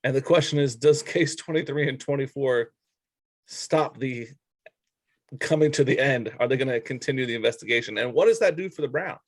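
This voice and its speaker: A man's voice: medium pitch, fairly quick, dry recording. A man co-hosting a sports show in casual, bantering conversation.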